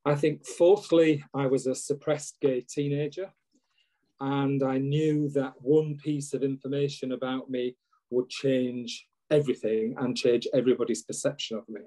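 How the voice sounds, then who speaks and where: A man in his sixties with a British accent and a soft-edged, mellow voice of middling pitch. He gives a reflective, orderly personal account as a panellist on a video call. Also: rough recording. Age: 60s. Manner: structured, numbering his points. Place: indoors at home on a video call. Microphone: video call microphone.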